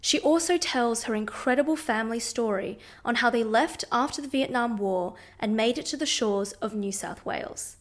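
A woman's voice: on the high side, normal speed, hardly any pauses. A woman hosting a podcast, introducing the show and chatting with a guest in an upbeat way. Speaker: podcast host. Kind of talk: podcast chat and scripted intro.

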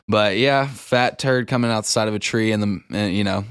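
A man's voice: fairly low pitch, normal speed, clean tone, dry recording, studio quality. A man co-hosting a podcast, telling anecdotes in a folksy, joking, informal way. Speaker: podcast co-host. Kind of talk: casual chat, anecdotal storytelling.

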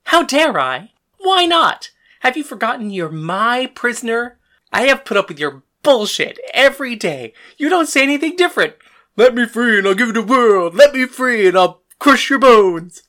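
A woman's voice: low-pitched, expressive, moderate pace, a bit bright, exaggerated voice. A woman playing a bitter, defiant god of death in a first-person audio drama. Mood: furious, defiant.

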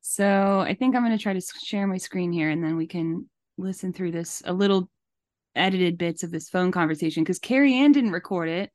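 A woman's voice: on the low side, fairly quick, darkish tone, no room sound. A woman co-hosting a podcast, giving casual and disapproving commentary. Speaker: podcast host.

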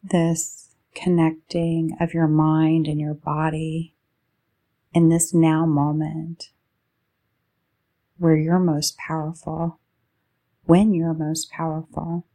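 A woman guides a meditation in a fairly low voice with a gravelly, fried edge. Her tone is dark and soft. She moves very slowly, laying out soothing phrases one short piece at a time. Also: flat delivery. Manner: slow, soothing delivery in short drawn-out phrases.

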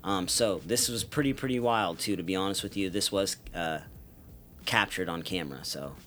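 A man co-hosting a casual podcast, laid-back, often pausing with "um" and laughing. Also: sits low, fairly bright tone, a little hiss or hum. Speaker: podcast co-host.

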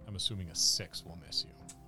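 A man working as a game master, improvising halting, descriptive narration of a tabletop fantasy battle. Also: deep voice, steady tone, music in the background.

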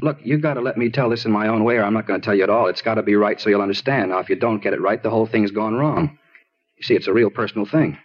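An American actor playing an anxious, evasive young man in a 1950s radio crime drama, husky, repetitive and insistent. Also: fairly low pitch, racing. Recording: dull vintage 1950s radio recording.

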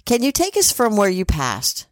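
A woman co-hosting a podcast, loud and chatty, moving between playful asides and reading from a script. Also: mid-range voice, huge pitch swings, normal speed, no echo, clean studio sound. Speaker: podcast co-host and spirit communicator. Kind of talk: casual chat and reading from a script.

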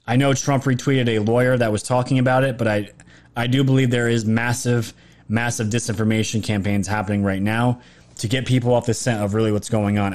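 Hoarse man rambling on a livestream. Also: medium pitch, darkish tone. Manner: rambling, repeats and restarts phrases, emphatic. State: throat sore, losing his voice. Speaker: political livestream commentator. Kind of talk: casual livestream monologue.

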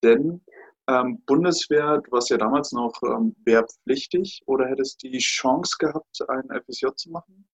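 A man hosting a podcast in casual interview chat, teasing and lively, stumbling over his words. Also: medium pitch, normal speed, husky, muffled recording. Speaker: podcast host.

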